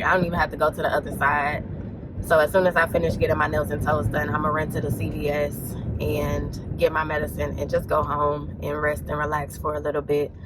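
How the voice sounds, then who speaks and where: A woman in her late twenties speaks in a low, nasal, congested voice, plainly fighting a cold. She chats loosely and rambles to her viewers, dropping "y'all" as she goes. A faint background hiss runs under the recording. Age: 20s. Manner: chatty, rambling, says y'all. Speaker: vlogger. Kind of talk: casual vlog talk to camera. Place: in a car on the way to errands.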